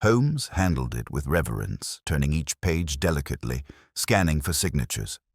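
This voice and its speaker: A man's voice: extremely low pitch, very animated, normal speed, slightly rough, no echo, clean studio sound. A man reading a detective story aloud as scripted audiobook narration.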